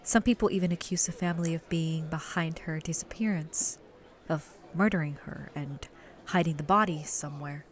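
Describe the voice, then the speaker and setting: An actress plays a seasoned female reporter in an audio drama interview. Her voice is low and her delivery is measured and solemn. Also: lightly acted. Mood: somber. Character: grave, seasoned female reporter recalling an old case.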